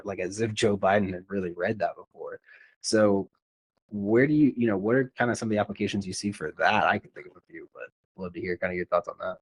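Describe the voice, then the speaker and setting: Man, podcast host, lowish pitch, casual interview chat, conversational, dry sound. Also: dry recording.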